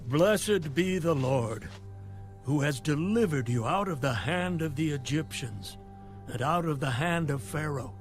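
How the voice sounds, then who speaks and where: An earnest elder priest in scripture radio drama, higher-pitched, unhurried and solemn, over music. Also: male voice. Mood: solemn praise. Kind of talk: audio Bible drama acting.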